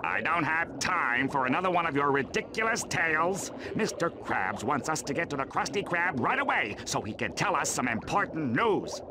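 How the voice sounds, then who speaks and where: Nasal, deadpan cartoon octopus. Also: male voice, on the high side, moderate pace, few pauses, background noise. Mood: bored, sarcastic. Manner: deadpan, dismissive. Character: sour, bored, sarcastic male cartoon octopus. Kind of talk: cartoon video game acting.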